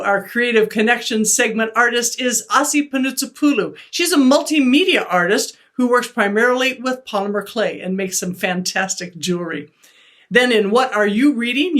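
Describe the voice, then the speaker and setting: A woman hosting an arts web video series, reading scripted introductions and interviewing a guest in an even, clear delivery. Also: a little high. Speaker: host.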